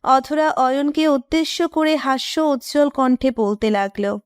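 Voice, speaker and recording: A woman's voice: high-pitched, unhurried, dry recording, clean studio sound. A woman reading scripted narration for a romantic audio story as its narrator.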